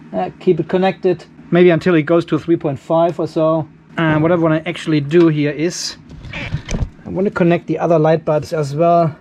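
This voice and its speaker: A middle-aged man with a notably high voice talks through a practical job in a garage workshop. He is informal and chatty, thinking aloud as he demonstrates. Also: darkish tone, slight background noise. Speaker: host of a DIY off-grid battery channel. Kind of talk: casual hands-on demonstration talk.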